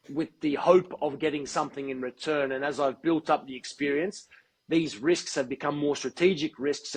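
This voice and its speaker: A man being interviewed as a guest, speaking in a measured, articulate and deliberate way. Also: mid-range voice, slight background noise, rough recording. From Australia. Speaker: guest, football TV presenter. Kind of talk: podcast interview.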